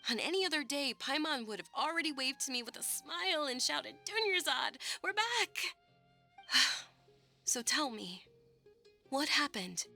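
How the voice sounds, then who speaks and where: Game role: well-bred young lady, bright and highish, polite, earnest, articulate, light music underneath. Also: very animated, a little slow, vocal fry, full character voice. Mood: earnest, worried. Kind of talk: video game voice acting.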